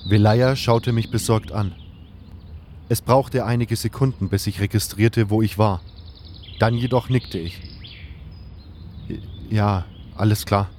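A man playing a thoughtful, earnest adventurer who tells his own story in an audio drama, in a measured scripted delivery. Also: on the low side, fairly even intonation, a little mellow, a little hiss or hum. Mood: calm, reflective. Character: first-person male adventurer hero, earnest and thoughtful. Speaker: narrator and lead character of a fan audio drama. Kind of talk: audio drama narration with in-character dialogue.

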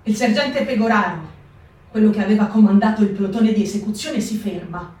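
A woman's voice: medium pitch, fairly quick, darkish tone. Woman reading firmly, echoey and far off. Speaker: performer in a staged reading. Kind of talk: dramatic theatrical reading. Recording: echoey radio broadcast from far off.

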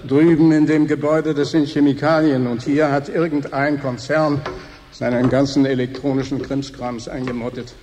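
A man's voice: mid-range voice, level tone, moderate pace, a little mellow, faint music behind, close to an everyday voice. An actor playing a matter-of-fact station man showing a newcomer around in a German radio drama, explaining things in a businesslike way. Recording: long hall reverb, faint noise.

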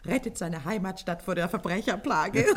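A woman's voice: mid-range voice, big pitch swings, measured pace, husky. A woman playing a gangster's amused, teasing sweetheart in a vintage German radio comedy. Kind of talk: 1950s German radio play acting.

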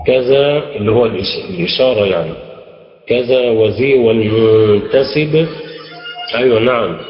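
A man's voice: mid-range voice, normal speed, soft background music. A man with an Egyptian accent teaching a grammar class, explaining step by step and quizzing his students with prompting questions. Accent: Egyptian Arabic. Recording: dull lo-fi recording, echoey hall.

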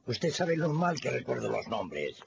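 An actor in a radio drama playing a frail, absent-minded older doctor, hesitant and repeating himself in a creaky voice. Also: male voice, mid-range voice, big pitch swings, measured pace, heavily acted. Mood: weary unease. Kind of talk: Spanish-language radio drama acting. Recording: dull lo-fi audio with music.

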